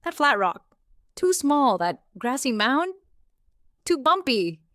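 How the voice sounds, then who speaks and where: A woman's voice: high-pitched, very animated, takes it slowly, a bit bright, no room sound, clean studio sound, voice pushed up, full character voice. A woman voicing a bossy, theatrical female cat in a children's story, with grand, dramatic exclamations. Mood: bossy, critical.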